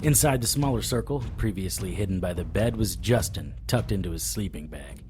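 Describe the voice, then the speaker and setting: Man as a low-voiced, hard-boiled occult hero. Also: expressive, fairly quick, music in the background. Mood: tense storytelling. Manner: flippant, defiant, hard-boiled. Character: cocky, wisecracking male supernatural investigator hero. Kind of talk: audio drama acting with first-person narration.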